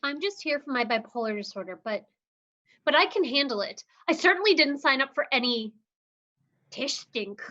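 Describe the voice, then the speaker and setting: Young female patient, defiant and earnest, animated delivery, as a woman plays her; muffled lo-fi sound. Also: high voice, normal speed. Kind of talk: livestream script reading. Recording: dull lo-fi audio.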